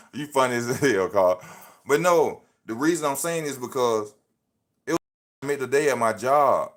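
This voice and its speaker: A man's voice: mid-range voice, animated, unhurried, pauses often. A man in his thirties livestreaming off his phone, giving casual motivational talk full of slang and repeating his points like a preacher. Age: 30s. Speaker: livestream host.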